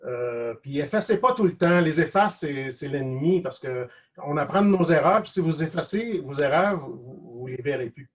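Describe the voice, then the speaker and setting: A man's voice: on the high side, lively intonation, moderate pace. A man with a Quebec French accent speaking casually and reflectively as a guest, hesitant and often repeating words. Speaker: guest cartoonist and animator. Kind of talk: casual online interview, reflective advice. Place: video call. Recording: dull lo-fi online call.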